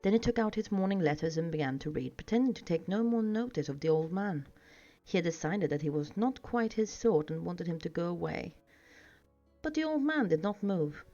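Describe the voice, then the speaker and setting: A woman's voice: lowish voice, animated, fairly quick, warm tone. A woman reading a storybook aloud as scripted narration. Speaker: audiobook reader. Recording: dull sound with music behind.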